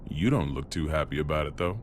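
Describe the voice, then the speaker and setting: Game official: deep bass register, composed, dry wit, probing questions. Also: male voice, fast, a little hiss or hum. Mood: calm, wry. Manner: calm, probing, wry. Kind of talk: video game cutscene acting.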